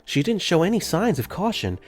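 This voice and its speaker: A man's voice: high-pitched, very animated, brisk, a little mellow, faint music behind. A man narrating a visual novel fan dub in first person, earnest and easily flustered.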